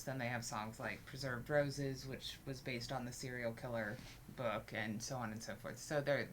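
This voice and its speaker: A woman with a low voice co-hosting a music reaction, chatting casually and explaining background details. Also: a bit bright, a little hiss or hum. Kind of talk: casual chat.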